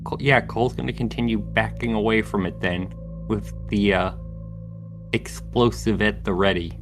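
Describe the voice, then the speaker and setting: A man's voice: fairly low pitch, fairly even intonation, normal speed, music in the background. A man talking casually as a player in a tabletop role-playing game, working out his character's moves aloud.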